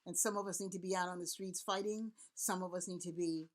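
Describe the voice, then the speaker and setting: A woman's voice: fairly low pitch, fairly even intonation, brisk. A middle-aged woman from Haiti, a Vodou priest and elder, giving an earnest, rallying spoken address with repeated words and long lists. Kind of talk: impassioned spoken address to camera.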